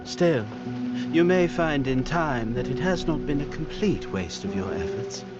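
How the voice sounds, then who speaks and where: Sly, lilting courtly spymaster. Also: male voice, a little high, lively intonation, unhurried. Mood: knowing. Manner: smooth-talking, delicately insinuating. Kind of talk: dramatic fantasy game acting. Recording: muffled lo-fi, music behind.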